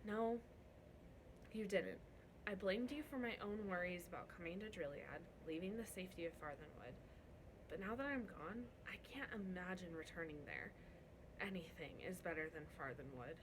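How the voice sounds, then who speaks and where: A woman's voice: on the low side, lively intonation, moderate pace, noisy background, natural voice. A woman voicing a wry, guarded and defiant young prince in a storybook read-aloud. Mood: sincere.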